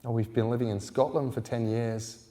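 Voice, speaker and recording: A man's voice: mid-range voice, level tone, normal speed, dark tone, natural voice. A man voicing a wary, self-conscious teenage girl from Scotland in an audiobook, guarded and plain-spoken. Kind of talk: audiobook character dialogue.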